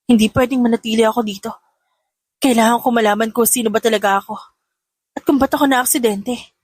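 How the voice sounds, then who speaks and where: Woman acting distraught young amnesiac woman, high-pitched. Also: steady tone, a little fast, breathy edge, dry recording. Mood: whispering, confused. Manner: distressed, pleading.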